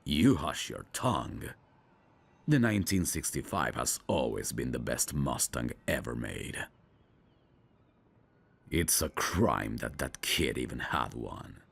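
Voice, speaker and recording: A man playing a gruff, world-weary veteran police detective in an audio drama, with a husky, gravelly voice and a sardonic manner. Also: very deep voice, very animated, slow pace, a bit bright, full character voice. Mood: indignant, fond. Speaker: detective mentoring a rookie. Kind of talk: scripted roleplay audio drama.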